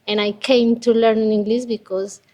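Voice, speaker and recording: A woman's voice: fairly high pitch, little pitch movement, normal speed, warm tone. A woman from Colombia with a Colombian accent and Spanish as her first language, a student answering interview questions hesitantly.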